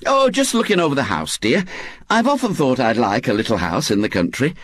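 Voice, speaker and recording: A man doing mischievous brisk old Aunt Jo. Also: medium pitch, sing-song, a bit bright, dry recording. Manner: gleeful, falsely innocent, brisk. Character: brisk, mischievous older woman, unflappable.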